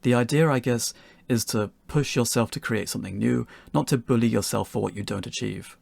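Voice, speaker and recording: A man's voice: medium pitch, fairly quick, gravelly, a little mellow, dry recording. A man narrating a scripted tutorial in an articulate way with wry phrasing. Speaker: game developer giving a tutorial.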